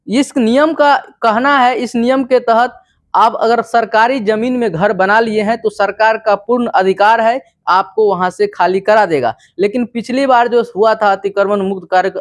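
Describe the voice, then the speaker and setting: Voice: medium pitch, dry recording. A Bihari-accented presenter with a mid-range voice, recorded dry and free of room sound. The delivery is that of an informal news explainer, moving between reading aloud and plain commentary. The listener is addressed directly and taught step by step. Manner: didactic, repeatedly addressing the listener.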